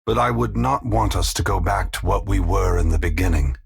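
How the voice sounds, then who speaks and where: An actor playing a weary, compassionate god in a video game, speaking in a grave, measured, formal way with a gravelly, husky voice. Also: male voice, deep voice. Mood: solemn, resolute. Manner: slow, measured, philosophical, formal. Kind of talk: video game voice acting, dramatic dialogue.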